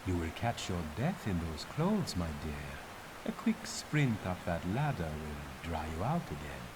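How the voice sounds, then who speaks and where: Low, formal older German mentor. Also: male voice, wildly swooping pitch, heavy background noise, exaggerated voice. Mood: patronizing. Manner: formal, measured, superior. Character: stern older male European archaeologist mentor, pedantic and condescending. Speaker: tutorial guide. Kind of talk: scripted game instruction.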